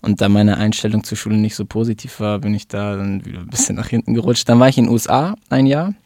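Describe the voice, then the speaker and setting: A man in his twenties from Germany, a rapper guest talking casually about his life, relaxed and rambling with fillers. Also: fairly low pitch, fast, no room sound, clean studio sound. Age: 20s. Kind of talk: casual interview chat.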